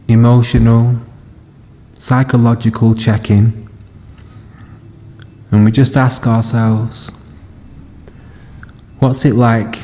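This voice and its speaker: A man leading a guided body scan meditation as a teacher, speaking gently and unhurriedly in short phrases with long pauses. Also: fairly low pitch. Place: live session in a reverberant room. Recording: long reverb of a hall.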